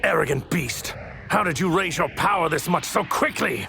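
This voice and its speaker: Haughty, gravelly anime villain god. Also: male voice, medium pitch, sing-song, normal speed. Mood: shocked fury. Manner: haughty, theatrical, grandiose, formal diction. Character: arrogant, wrathful male god in a stolen mortal warrior's body. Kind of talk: anime dub villain acting. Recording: clearly noisy audio ripped from anime.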